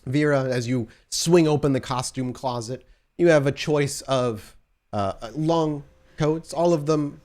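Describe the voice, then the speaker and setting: A man's voice: a little high, measured pace, darkish tone. A man narrating a tabletop roleplaying game as storyteller, conversationally setting scenes and posing choices to the players.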